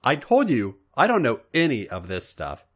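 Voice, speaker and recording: A man's voice: medium pitch, wildly swooping pitch, moderate pace. A man voicing a naive, earnest, bashful young woman in a story reading, with a hesitant, innocent manner.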